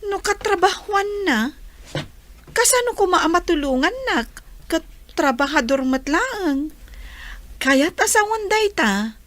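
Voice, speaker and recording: A woman's voice: very high voice, very animated, slow pace, pauses often, fairly bright tone, full character voice. A woman acting a plaintive, doubtful young village daughter with an Ilocano accent in a radio comedy drama. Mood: doubtful, surprised.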